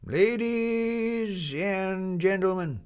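A man voicing an ancient, pompous old sea captain with a creaking voice, orating slowly and grandly. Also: high-pitched, darkish tone, pitched above the performer's own voice. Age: older. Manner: slow, grandiloquent speechmaking.

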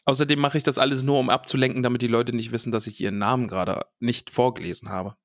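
A man's voice: medium pitch, steady tone, quick pace, dry recording, clean studio sound. A man co-hosting a podcast in casual chat, playful and jokey, reading a list of names and wandering off topic. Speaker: podcast co-host.

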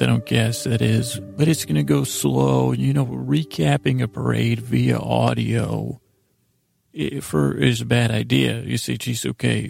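An American man with a gravelly, raspy voice hosts a bedtime podcast in a rambling, improvised monologue, halting and stammering as he meanders. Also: medium pitch. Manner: meandering and halting, stammers and trails off mid-sentence. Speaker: host of a bedtime sleep podcast.

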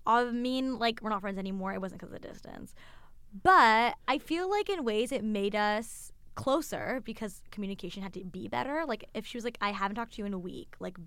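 Reflective young woman, smooth clear voice. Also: medium pitch, fairly bright tone, no room sound. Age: young adult. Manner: reflective, heavy use of "like". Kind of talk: casual group chat.

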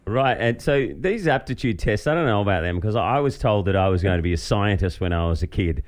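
A man's voice: lowish voice, animated, brisk. A man with an Australian accent hosting a podcast in an informal, self-mocking, chatty way. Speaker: podcast host; professional voiceover artist. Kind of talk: casual interview chat.

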